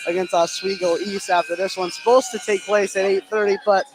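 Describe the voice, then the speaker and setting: A man giving conversational color commentary on a live high school basketball game from inside a gym. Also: steady tone, brisk. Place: indoor gymnasium commentary position. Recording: live broadcast with gym crowd noise.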